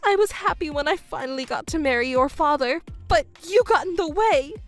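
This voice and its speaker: A woman's voice: high-pitched, lively intonation, brisk, soft background music. A woman voicing a selfish, self-pitying middle-aged mother in a scripted family drama, whiny and indignant in a melodramatic way. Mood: bitter fury.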